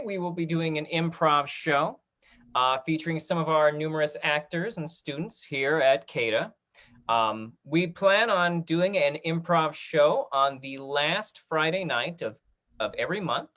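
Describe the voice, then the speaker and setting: A man hosting a casual online talk-back, speaking in a friendly, encouraging way with halting starts and frequent fillers. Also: fairly high pitch, fairly bright tone. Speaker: host and online programming director of a theater company. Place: online video call.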